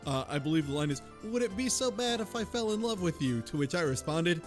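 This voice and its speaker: A man's voice: high voice, lively intonation, a little fast. A man in a casual comedic discussion of a visual novel, sweary and sardonic, often acting out lines in mocking paraphrase. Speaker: book club podcast panelist. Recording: music playing behind the voice.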